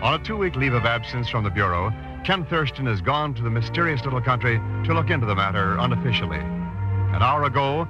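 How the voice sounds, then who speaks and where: Casting: male old-time radio narrator, mid-pitched, scripted suspense delivery, dull recording with prominent music behind. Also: expressive, a little fast. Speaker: announcer and narrator. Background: prominent dramatic music.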